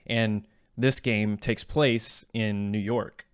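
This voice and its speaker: A man's voice: lowish voice, steady tone, slow pace, smooth, dry recording, clean studio sound. A man co-hosting a podcast, chatting casually about video games in a joking, self-deprecating way. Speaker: podcast co-host.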